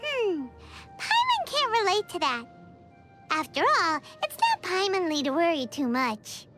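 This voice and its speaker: A woman voicing a tiny, bubbly, childlike female fairy companion in a video game, squeaky and excitable, dramatic in manner. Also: measured pace, faint music behind, exaggerated voice. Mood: pondering. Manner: speaks of self in third person. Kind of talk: video game character dialogue.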